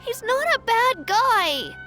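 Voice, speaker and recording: A woman's voice: very high-pitched, big pitch swings, slow, bright, forceful tone, full character voice. A woman voicing a small, plucky village boy in an anime-style video game, childlike, blunt and protective. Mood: defiant, suspicious. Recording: prominent background game music.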